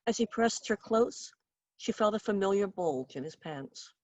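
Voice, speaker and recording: A woman reading her own short story aloud in an expressive, wry and dramatic storytelling manner. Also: mid-range voice, normal speed, dry recording, lo-fi sound.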